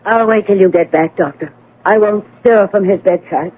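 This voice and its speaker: A woman's voice: medium pitch, normal speed, natural voice. An actress playing a devoted, anxious female nurse in a radio drama, speaking in an earnest, tender way. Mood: worried. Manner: earnest, pleading delivery. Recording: muffled old radio broadcast, faint noise.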